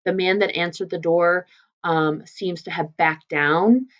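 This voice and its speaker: A woman with a slightly low voice reads a story aloud as a teacher would. She adds informal, explanatory commentary as she goes. The recording is a little dull and lo-fi. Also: steady tone, measured pace. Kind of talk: read-aloud lesson with casual explanatory commentary.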